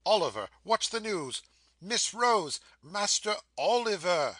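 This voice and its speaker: Deferential manservant voiced by a woman, bright-toned. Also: mid-range voice, sing-song, takes it slowly, no echo, heavily acted. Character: tearful loyal male servant, humble.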